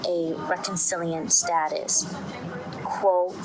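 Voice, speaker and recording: Lowish-voiced woman reading over background noise. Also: fairly even intonation, takes it slowly. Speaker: reader in a student theatre performance. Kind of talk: reading a famous speech aloud. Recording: clear background noise, ambient sound.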